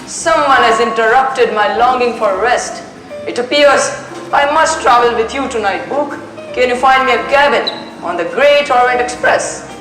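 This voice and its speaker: A courteous, self-assured gentleman detective played by a young woman speaks formally in an amateur stage play. The voice is fairly high and rings through a very echoey hall, with music clearly underneath. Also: normal speed, lightly acted.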